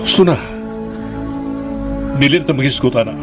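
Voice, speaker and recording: A man's voice: mid-range voice, moderate pace, close to an everyday voice. An actor playing a patient, firm father who reasons earnestly in a radio drama. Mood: earnest, pleading. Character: patient, firm father counselling his son. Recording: music behind.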